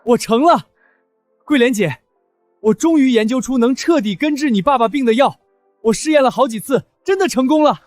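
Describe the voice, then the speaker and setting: An actor playing an earnest, kind-hearted young village healer, scripted and sincere, tripping over words when flustered. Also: male voice, on the high side, sing-song, fast, dark tone, soft background music. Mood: excited, flustered. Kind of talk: scripted drama acting.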